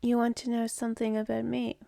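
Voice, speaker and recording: A woman voicing a jaded, scornful, seductive demoness in an ASMR roleplay, with a sardonic manner that slips into breathy whispers. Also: on the high side, monotone, fairly quick, warm tone, no echo, clean studio sound. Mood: guarded, matter-of-fact.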